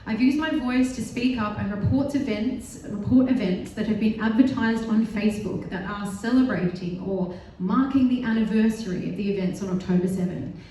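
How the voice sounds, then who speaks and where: Aussie woman giving a serious speech, mellow tone, determined, echoey synagogue hall, far off mic. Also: medium pitch, moderate pace, hardly any pauses, a little hiss or hum. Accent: Australian. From Australia. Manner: earnest, determined, self-deprecating asides. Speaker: panel speaker. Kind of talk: prepared speech at a live event.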